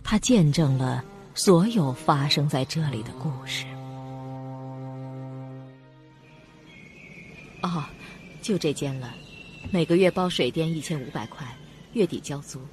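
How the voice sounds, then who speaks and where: An actress playing a lonely, mysterious landlady in a horror radio drama, speaking in a measured way. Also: sits low, animated, darkish tone. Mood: composed. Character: eerie, lonely landlady of an old mansion. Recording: music behind the voice.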